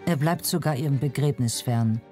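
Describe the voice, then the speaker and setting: Mellow documentary narrator over music. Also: mid-range voice, normal speed. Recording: music bed under narration.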